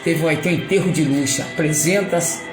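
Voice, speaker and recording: A man's voice: a little high, fairly quick. A man from Rio de Janeiro with a Carioca accent, preaching a rambling, emphatic sermon with playful asides. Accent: Carioca Portuguese. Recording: echoey room with music behind.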